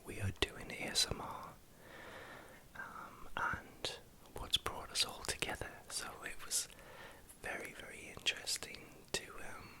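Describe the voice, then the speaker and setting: A man with a Geordie accent from northeast England, speaking as an ASMR creator in a hesitant, halting way while recounting things. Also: low-quality recording. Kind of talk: whispered ASMR vlog, recounting.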